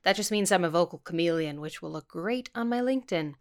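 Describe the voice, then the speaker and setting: A woman's voice: fairly low pitch, expressive, a little fast. A woman hosting a podcast, giving a scripted introduction in an informal, playful and enthusiastic way. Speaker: podcast host, voice actor.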